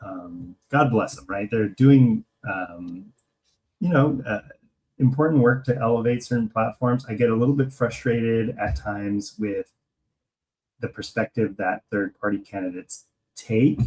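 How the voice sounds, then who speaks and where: An American man co-hosting a podcast, talking casually about politics and thinking aloud in a hesitant, halting way. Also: lowish voice, darkish tone, husky, poor audio. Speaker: podcast co-host.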